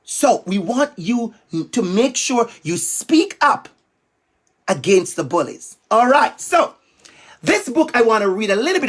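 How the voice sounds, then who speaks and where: A man's voice: very high voice, fairly bright tone. A man with a Jamaican accent, from Jamaica, giving a playful, emphatic talk to young students as a school guest speaker. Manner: playful, emphatic, calls out to the kids.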